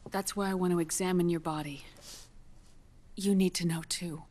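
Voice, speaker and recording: A woman playing a grave, compassionate scientist in a dramatic video game, explaining in measured, precise phrases with quiet intensity. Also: fairly low pitch, steady tone, slight background noise, close to an everyday voice. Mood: earnest, clinical.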